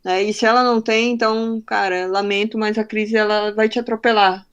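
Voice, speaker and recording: A young adult woman from northern Brazil whose accent has faded but still shows faintly. Her voice sits at a middle pitch, and she talks frankly as a guest in a casual podcast interview. She brings her stories to life by acting out what each person said. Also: brisk, clean tone, dull audio. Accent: faint northern Brazilian Portuguese. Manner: frank, animated storyteller acting out quoted dialogue. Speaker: podcast guest, marketing professional.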